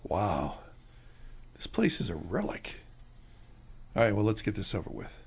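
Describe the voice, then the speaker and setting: A man's voice: lowish voice, very animated, measured pace, slight background noise. An actor playing a greedy, grumpy man who mutters to himself. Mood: muttering. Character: greedy grumbling man named Tony. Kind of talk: radio drama acting.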